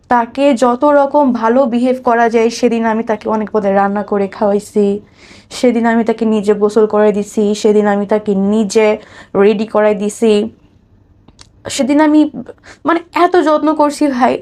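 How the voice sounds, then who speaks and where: A woman's voice: fairly high pitch, dark tone. A woman with a Bangladeshi accent telling her own life story conversationally as an interview guest, acting out what people said.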